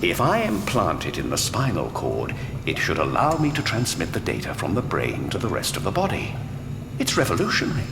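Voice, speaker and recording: An actor playing a genteel, grandiose mad scientist villain in an audio drama, theatrical, condescending and mock-courteous. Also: male voice, sits low, wildly swooping pitch, fairly quick, in a large hall, over music, exaggerated voice. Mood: grandiose, lecturing. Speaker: villainous doctor. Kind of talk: full-cast audio drama acting.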